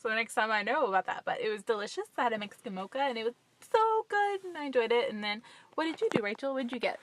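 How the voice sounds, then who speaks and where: A young American woman with a bright, forceful, slightly high voice chats casually into a phone. The sound is close and dry, as inside a car. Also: animated, quick pace, no echo. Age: 20s. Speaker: vlogger. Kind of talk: casual chat to camera. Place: inside a parked car at night. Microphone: phone at arm's length.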